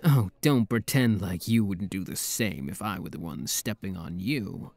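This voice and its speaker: Guy doing a flirty demon prince for asmr roleplay, slow talker but really expressive, playful teasing, dry sound. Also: low voice, creaky, dry recording, heavily acted. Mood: flustered, flirty. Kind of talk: intimate ASMR roleplay audio.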